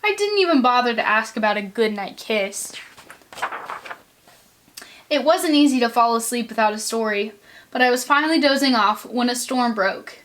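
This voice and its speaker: A woman reading a children's storybook aloud with expressive narration. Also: on the high side, moderate pace, pauses often.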